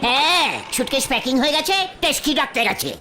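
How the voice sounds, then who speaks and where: An actor playing an excitable, bumbling, lovelorn village uncle in a comic cartoon, blustering and mangling words. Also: high voice, quick pace, a little hiss or hum. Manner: blustering, malapropisms.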